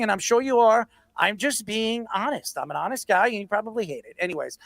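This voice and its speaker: Man, sports host, very bright voice, dry close sound, combative, ranting, blunt debate style. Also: very high-pitched, no echo.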